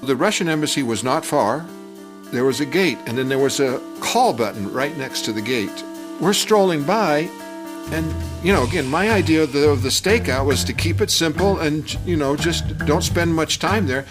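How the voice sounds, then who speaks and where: An older man telling a personal story from his youth in a conversational, halting and animated way. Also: a little high. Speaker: storyteller telling his own childhood memory. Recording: music bed under the voice. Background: music.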